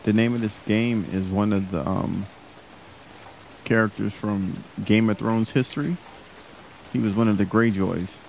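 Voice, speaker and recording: Low-voiced man doing a let's play. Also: fairly even intonation, unhurried, creaky, long pauses, darkish tone, a little hiss or hum. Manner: informal, slangy reactions. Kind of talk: casual let's play, reading game text aloud and commenting. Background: music.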